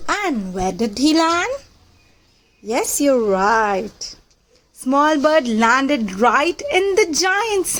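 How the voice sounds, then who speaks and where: Very slow, high-pitched woman reading to children. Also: a bit bright. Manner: simple, deliberate phrasing with questions to young listeners. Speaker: storyteller reading a picture book to children. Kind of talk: children's read-aloud storytelling.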